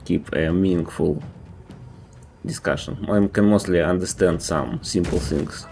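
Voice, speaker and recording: Low, slow Russian-accented male streamer. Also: gravelly, a little mellow, soft background music. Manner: halting, thoughtful, restarts mid-sentence. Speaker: game streamer. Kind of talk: casual gameplay commentary.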